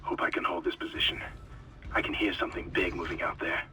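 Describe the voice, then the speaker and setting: A man's voice: low-pitched, steady tone, fast. An actor playing a tense military man giving orders over a radio link in a video game. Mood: tense, urgent. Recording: distant, noisy radio transmission.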